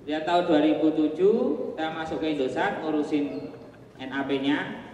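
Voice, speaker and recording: A man's voice: on the high side, normal speed, distant mic, dull audio, slight background noise. Javanese-accented man in an echoey hall. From Java. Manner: relaxed, joking asides, frequent fillers. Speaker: conference presenter. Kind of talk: casual technical presentation. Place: large hall.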